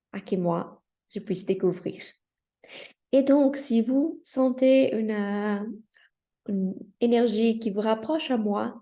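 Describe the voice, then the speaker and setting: A Brazilian woman with a Brazilian Portuguese accent, a Reiki teacher greeting viewers of her channel in a slow, earnest, softly hesitant way, speaking outdoors in the mountains. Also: medium pitch, creaky. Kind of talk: spiritual welcome address to viewers.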